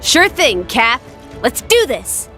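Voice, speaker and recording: A woman's voice: on the high side, very animated, takes it slowly, pushed and bright, faint music behind, exaggerated voice. An actress playing an earnest, eager superhero woman in a cartoon game, speaking in a businesslike, urgent way.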